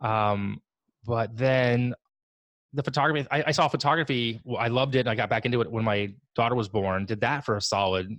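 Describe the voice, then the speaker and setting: A man in his forties on a video call from his home office, talking in a relaxed, rambling, self-deprecating way. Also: medium pitch, fairly quick, no room sound. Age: 40s. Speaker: guest. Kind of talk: casual video call interview.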